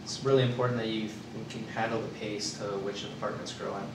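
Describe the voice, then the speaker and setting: Young male student interviewer, low and monotone, picked up from a distance. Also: quick pace. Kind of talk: student role-play job interview. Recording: echoey room, far from the mic, noisy.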